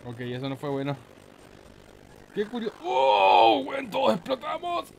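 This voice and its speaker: A man with a Chilean Spanish accent giving casual, excitable let's play commentary in a playful, slangy, exclamatory manner. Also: sits high, measured pace, slight background noise. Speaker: gaming YouTuber, host.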